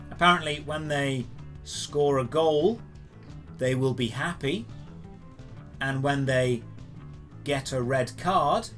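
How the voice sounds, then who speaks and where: Guy doing gaming news, higher voice, sarcastic and punchy, music playing under him. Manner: emphatic, opinionated, sarcastic. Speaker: host of a daily geek news show. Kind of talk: scripted news commentary to camera.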